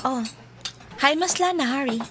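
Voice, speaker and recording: A woman's voice: sits high, moderate pace, close to an everyday voice. A woman acting as a snide, disapproving older sister in a radio drama, with a Cebuano Filipino accent.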